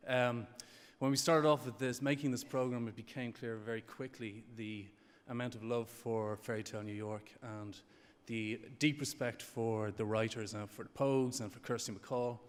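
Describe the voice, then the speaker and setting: A man giving an off-the-cuff acceptance speech, haltingly searching for his words. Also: medium pitch, steady tone. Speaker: award winner. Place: large awards venue stage. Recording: echoey hall PA.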